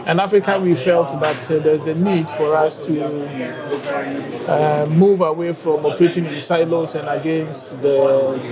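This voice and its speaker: A Ghanaian man with a fairly high voice speaks in a measured way, pausing often between thoughts. Loud chatter fills the trade conference floor behind him. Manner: measured, with frequent hesitations. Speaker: bank guarantees unit head. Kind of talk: conference interview.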